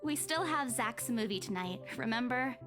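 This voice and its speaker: A woman's voice: a little high, moderate pace, pitched above the performer's own voice, natural voice. A woman voicing a flustered young woman who talks back defensively in a game story. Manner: bright, animated, defensive. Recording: background music.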